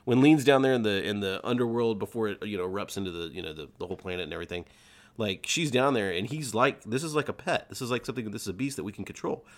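A man with a fairly low voice talks very quickly in a casual podcast discussion. He is emphatic and slips into acting out what characters might be thinking. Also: expressive. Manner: emphatic, acts out characters' thoughts. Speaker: podcast co-host.

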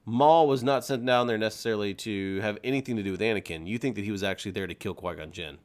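A man hosting a podcast, casually retelling and discussing a story as a fan, explaining it in an animated way. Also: lowish voice, fast. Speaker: podcast host.

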